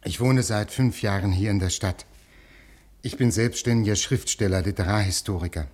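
A male actor as an anxious man of letters in an old radio play. His voice is lowish and husky. He speaks earnestly, pleading and explaining himself at length. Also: moderate pace, a little mellow, lightly acted. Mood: nervous, earnest. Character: anxious, pleading, scholarly male literary historian. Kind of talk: 1950s German radio play acting.

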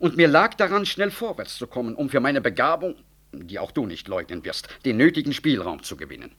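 An ambitious, calculating government official in a 1960s radio drama. His voice moves in lively, wide swings of pitch. He speaks in a rhetorical, condescending and diplomatically slippery manner. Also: brisk. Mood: self-justifying, condescending. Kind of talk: 1960s German radio play acting.

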